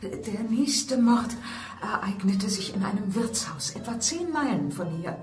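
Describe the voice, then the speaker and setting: A woman's voice: medium pitch, lively intonation, measured pace, darkish tone, faint music behind, close to an everyday voice. A woman playing a gossipy country-house housekeeper in a German mystery radio play, telling things in a confiding, dramatic way. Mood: ominous, gossipy.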